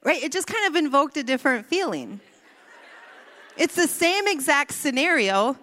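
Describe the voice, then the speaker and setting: A woman's voice: sits high, sing-song, normal speed, pushed and bright. A woman speaker telling a playful childhood story to a women's gathering before turning to scripture and prayer. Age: adult with a son. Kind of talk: sermon. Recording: echoey hall.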